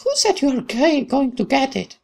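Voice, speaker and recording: A man voicing a playful, mischievous young woman in a visual novel, with teasing, bright exclamations. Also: extremely high pitch, very animated, normal speed, creaky, voice pushed up, full character voice. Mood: playful, cheerful.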